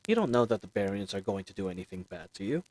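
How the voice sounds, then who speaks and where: A man's voice: fairly low pitch, sing-song, fast, darkish tone. A man playing a friendly, roguish bandit leader in a fantasy audio roleplay, talking in a casual, teasing, chatty way. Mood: cheerful, reassuring. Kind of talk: fantasy audio roleplay acting, speaking intimately to listener.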